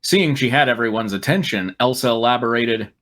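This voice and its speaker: A man's voice: medium pitch, fairly quick, a bit bright. A man reading fan fiction aloud as host, narrating dramatically with wry, amused asides. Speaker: reader and host.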